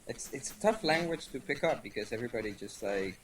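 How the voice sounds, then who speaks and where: A young man from Venezuela whose first language is Spanish, telling stories in a halting casual interview with many fillers. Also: medium pitch, lively intonation, slight background noise, poor audio. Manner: halting, lots of fillers and restarts. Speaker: guest. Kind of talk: casual interview over voice chat.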